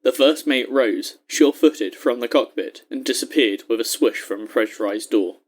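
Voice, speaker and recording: Measured male story narrator, mid-pitched.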